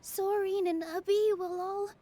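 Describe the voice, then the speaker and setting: An actress playing a modest young dancer in a video game, speaking sweetly, earnestly and politely. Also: female voice, very high-pitched, fairly even intonation, measured pace, warm tone. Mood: worried. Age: young adult.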